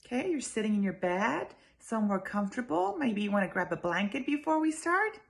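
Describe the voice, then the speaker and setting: A woman reading a children's story aloud in an expressive, emphatic way, speaking directly to her young listeners. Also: on the high side, brisk, vocal fry.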